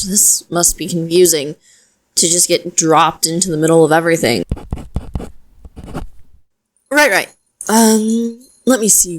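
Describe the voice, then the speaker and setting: A woman playing a chatty, flustered young wizard in an audio roleplay, speaking straight to the listener in a hesitant, expressive, conversational way. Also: mid-range voice. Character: flustered young female wizard, chatty, earnest, self-deprecating.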